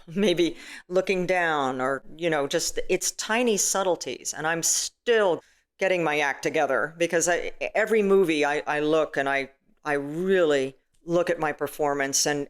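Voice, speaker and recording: A middle-aged woman with a booming, stage-trained voice, chatting casually as an interview guest with lots of "you know"s and restarts. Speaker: filmmaker and actress guest.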